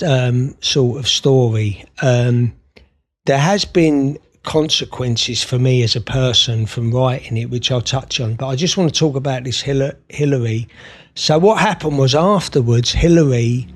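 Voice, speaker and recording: A man's voice: mid-range voice, measured pace. A man guesting on a podcast, talking openly and at length with many fillers and restarts. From Scotland. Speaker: podcast guest, therapist and author. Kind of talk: conversational interview.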